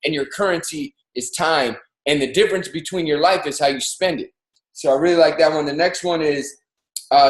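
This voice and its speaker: A man in his thirties giving an energetic, preachy motivational talk as co-host of a livestreamed show, pushing his voice up on key points. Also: on the high side, echoey room, poor audio. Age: 30s. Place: home in Miami, livestreamed call. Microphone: video call.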